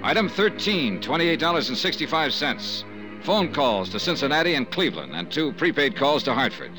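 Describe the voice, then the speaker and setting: An actor playing a tough, wry insurance investigator with a clipped, sardonic manner in a 1950s radio drama. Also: male voice, on the high side, sing-song, moderate pace, music in the background, rough recording. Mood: brisk, businesslike. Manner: clipped, hard-boiled, sardonic. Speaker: lead actor and narrator of a radio detective serial.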